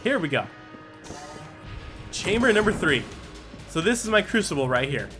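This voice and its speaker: High-pitched excitable male gamer. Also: normal speed. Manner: excitable, talks to himself. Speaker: gaming YouTuber. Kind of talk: casual video game playthrough commentary. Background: game music and gunfire.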